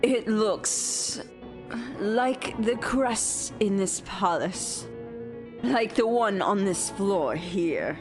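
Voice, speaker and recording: A dark sorceress queen in a fantasy radio drama. She speaks slowly and formally, with theatrical deference to her lord, over faint music. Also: mid-range voice, lively intonation. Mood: watchful reporting. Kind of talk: fantasy audio drama acting.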